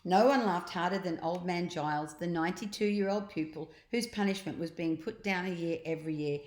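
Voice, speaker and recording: An older woman narrates a children's storybook for grandchildren. Her voice sits a little low, and she leans on words with drawn-out, expressive emphasis. The recording is noticeably roomy. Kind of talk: storybook narration read aloud.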